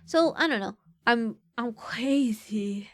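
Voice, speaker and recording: Chatty woman streamer, voice swinging in pitch. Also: lively intonation, slow, a bit bright. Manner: chatty, rambling with fillers and self-corrections; pitch swings widely with mood. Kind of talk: casual chat stream.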